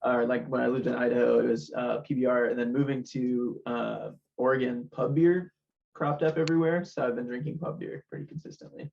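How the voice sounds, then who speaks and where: A man's voice: mid-range voice, fairly even intonation, brisk, creaky, muffled recording. A man in a band chatting easygoing on a podcast, with a dry sense of humor. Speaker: band member. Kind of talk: casual podcast chat.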